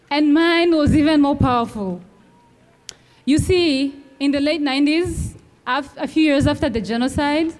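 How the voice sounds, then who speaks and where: Young woman speaking high into long reverb. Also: darkish tone. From Rwanda. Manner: measured, earnest, emphatic pauses. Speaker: graduating student speaker. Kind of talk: commencement speech. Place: large outdoor ceremony venue. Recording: long reverb from a large venue.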